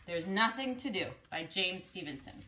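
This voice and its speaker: A woman's voice: lowish voice, big pitch swings, moderate pace, bright, forceful tone, a little hiss or hum, poor audio. A woman reading a picture book aloud in a teacherly way, explaining things and putting questions to the young listener. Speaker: speech-language pathologist reading aloud to children.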